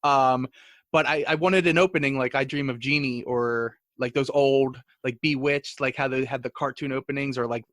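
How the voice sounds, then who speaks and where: A man's voice: medium pitch, steady tone, normal speed, a bit bright, no room sound. A man co-hosting a comedy podcast, chatting casually in a joking, rambling way. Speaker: guest podcast co-host.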